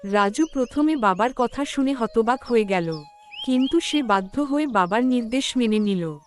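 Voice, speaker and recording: A woman narrates a scripted village moral story in a fairly high voice. She is the storyteller of a cartoon, and soft music runs behind her. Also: animated, normal speed.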